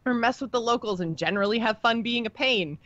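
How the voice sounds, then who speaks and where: A woman's voice: fairly high pitch, fast, fairly bright tone, dry recording. Woman podcaster with dry, slightly dull sound. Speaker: podcast co-host. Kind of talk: casual chat about video game lore, reading listener email.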